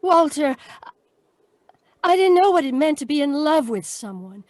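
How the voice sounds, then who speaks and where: A woman's voice: sits high, very animated, unhurried, darkish tone, dry recording. A woman playing an earnest, lovestruck and remorseful young woman in an old-time radio melodrama. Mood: earnest, resolute.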